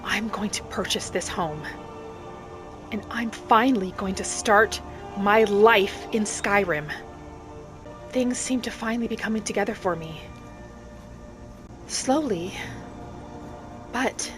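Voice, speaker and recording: A woman plays a gentle young wood elf woman telling her own story in a fantasy game roleplay. She speaks softly and earnestly, in an unhurried, dreamy way. Quiet music runs behind her mid-pitched voice. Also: close to an everyday voice. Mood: tender, content. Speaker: roleplay series host. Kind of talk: in-character scripted narration, storytelling.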